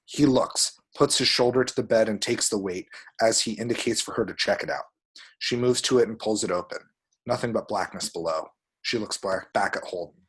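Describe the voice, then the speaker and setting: Man, low husky voice, screenplay narrator, vivid table-read storytelling. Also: brisk, fairly bright tone. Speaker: reader of action descriptions.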